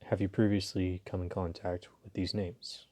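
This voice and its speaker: A man playing a polite, flirtatious and dominant mafia boss in an intimate audio roleplay, talking to the listener in an unhurried, teasing way. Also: sits low, little pitch movement, warm tone. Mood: calm, probing.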